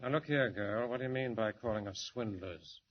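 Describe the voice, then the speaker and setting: An actor playing a middle-aged swindler in a 1940s radio drama, speaking with sly, mocking menace. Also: male voice, lowish voice, normal speed, close to an everyday voice. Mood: menacing. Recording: dull noisy old radio transcription.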